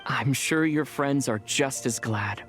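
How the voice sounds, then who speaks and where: A young traveler for a game cutscene. Her voice is low and she speaks slowly, earnest and understated, as a loyal friend would. Soft music plays underneath. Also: heavily acted. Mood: calm, sincere.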